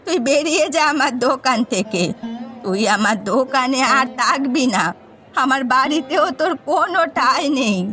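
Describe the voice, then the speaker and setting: A woman's voice: high-pitched, lively intonation, moderate pace, bright, forceful tone, faint music behind. A woman voicing a stern, scolding elderly grandmother shopkeeper in a fairy-tale cartoon. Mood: angry scolding. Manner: scolding, reproachful. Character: stern elderly grandmother who runs a meat shop.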